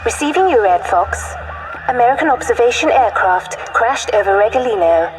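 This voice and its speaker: A woman's voice: fairly high pitch, animated, normal speed, faint music behind. A woman playing a terse, businesslike wartime radio operator in a game, sending clipped telegraphic orders over a radio. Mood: urgent, formal. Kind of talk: dramatic game cutscene acting. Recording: distant-sounding military radio transmission.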